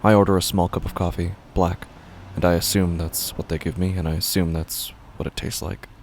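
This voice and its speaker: An actor playing a weary, confused man who clings to rigid rules, narrating a horror monologue in a halting, repetitive, gravelly voice. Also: sits low, little pitch movement, slight background noise. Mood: resigned. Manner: hesitant, halting, brooding, repeats himself. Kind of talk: first-person horror audio drama monologue.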